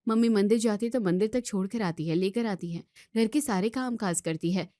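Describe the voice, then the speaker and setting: A woman's voice: medium pitch, a little fast, warm tone, no echo, studio quality, natural voice. A woman playing an outspoken young student in a family drama, scolding and arguing with emphatic questions. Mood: firm, reproachful. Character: outspoken young student daughter defending her sister-in-law. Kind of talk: Hindi family drama voice acting.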